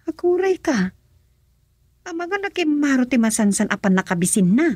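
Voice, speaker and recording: A woman's voice: high voice, big pitch swings, normal speed, darkish tone, no room sound. An actress with an Ilocano accent playing an inquisitive village councilman's wife in a radio comedy-drama.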